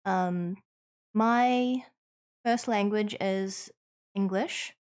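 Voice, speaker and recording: A woman with a New Zealand accent, streaming and chatting with viewers in an earnest, reassuring way, pausing with ums as she thinks. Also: medium pitch, a little mellow, clear, resonant tone. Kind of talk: casual chat answering viewers.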